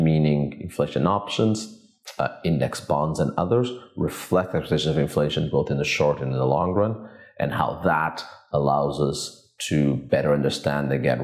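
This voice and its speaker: A man in his forties from Portugal, an economics professor giving measured, academic interview answers in an office. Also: very deep voice, darkish tone, roomy sound. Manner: measured, academic, thinks aloud with restarts. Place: office with glass walls, indoors.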